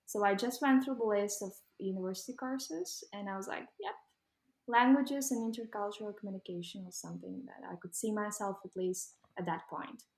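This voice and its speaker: A young Ukrainian woman with a Ukrainian accent, answering interview questions casually with many pauses and ums. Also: medium pitch, vocal fry, reverberant, rough recording. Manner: hesitant, many ums, laughs while talking. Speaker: guest.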